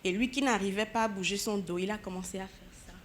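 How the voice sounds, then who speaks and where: A woman with a West African French accent telling a church testimony in a measured way. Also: medium pitch. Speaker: church member giving testimony.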